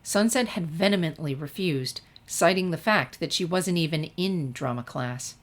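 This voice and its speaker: A woman's voice: low-pitched, normal speed. A woman narrator reading a scripted fan-fiction story expressively.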